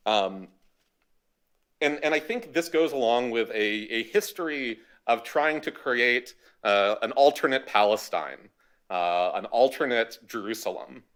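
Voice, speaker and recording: A man speaking as a history panelist at a university event, giving a measured academic talk with a dry, wry touch. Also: mid-range voice, animated, fairly bright tone, clear, resonant tone.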